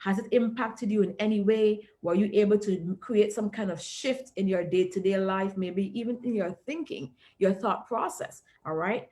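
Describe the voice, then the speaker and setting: A woman's voice: mid-range voice, fairly quick, hardly any pauses, dark tone. A woman hosting an online video call, who talks with emphasis and often checks in with "all right?" and "okay?". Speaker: host.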